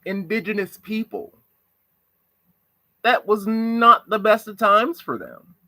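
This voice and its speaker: A man's voice: sits high, slow, pushed and bright, dry recording. A man from the United States giving a reflective monologue on social issues, asking rhetorical questions. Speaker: commentator.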